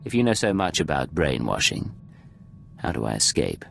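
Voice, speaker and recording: A man's voice: very deep voice, very animated, moderate pace, gravelly, soft background music, full character voice. A man playing a hard, guarded secret agent in a video game, speaking curtly and defiantly. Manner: curt, guarded. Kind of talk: video game cutscene voice acting.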